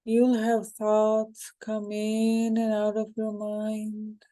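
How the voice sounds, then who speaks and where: A woman guiding a hypnosis meditation in a calm, unhurried, soothing way, with short phrases and pauses. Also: medium pitch. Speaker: hypnosis guide.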